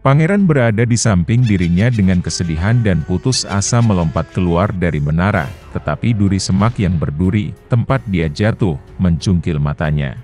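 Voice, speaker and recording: Male narrator for a bedtime fairy tale: low, mellow voice, steady and calm reading. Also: normal speed, few pauses, faint music behind. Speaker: storyteller. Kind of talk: scripted bedtime story narration.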